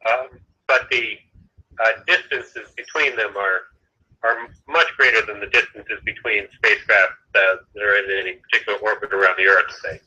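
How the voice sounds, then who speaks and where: Man, satellite staffer on a call, halting explainer, very bright tone, tinny phone line. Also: on the low side, steady tone, slightly rough. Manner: halting, many restarts, explanatory. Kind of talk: phone conversation.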